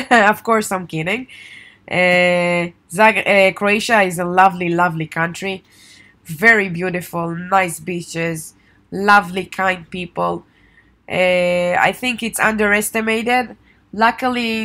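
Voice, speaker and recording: A woman's voice: mid-range voice, fairly bright tone. A young adult woman whose first language is Hebrew, talking casually to camera about the travel guide she co-wrote, with lots of "uh"s along the way. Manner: chatty and informal, with many fillers. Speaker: travel guide author and tour operator. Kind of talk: casual promotional vlog.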